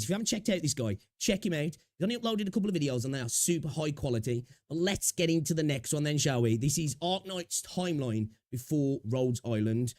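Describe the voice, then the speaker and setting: A man's voice: mid-range voice, a little mellow, no echo, studio quality. A young man chatting casually on a live stream, a little sniffly with a sore throat, rambling and greeting viewers. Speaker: streamer reacting to videos.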